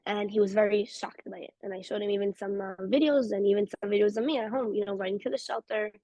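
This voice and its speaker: A woman's voice: mid-range voice, no room sound. A woman from Israel with an Israeli accent, telling personal stories in a casual talk and acting out the people she quotes. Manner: fast, animated, acts out quoted voices. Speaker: interview guest. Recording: dull lo-fi recording.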